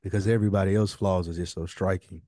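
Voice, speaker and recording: A man's voice: low-pitched, steady tone, fast talker, dark tone. A man co-hosting a podcast in casual chat, slangy and emphatic, often repeating a phrase to drive it home. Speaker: podcast co-host.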